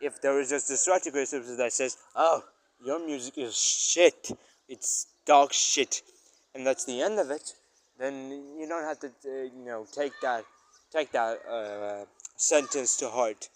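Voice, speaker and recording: A man's voice: mid-range voice, unhurried. A man talking casually in a rambling personal audio log as a musician, often searching for words and stressing exclamations. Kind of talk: casual rambling spoken-thoughts monologue.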